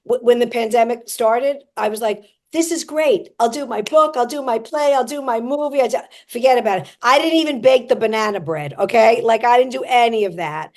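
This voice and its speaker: A woman's voice: a little high, normal speed. A woman comedian hosting a podcast interview, chatting in a jokey, self-promoting way. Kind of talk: casual interview chat.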